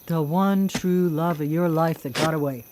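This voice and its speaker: A woman's voice: deep voice, normal speed, background noise, close to an everyday voice. An actress in a live radio drama playing a vengeful female vigilante killer, mocking, cocky and coolly menacing. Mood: teasing. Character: vengeful, taunting female vigilante killer who hunts predators.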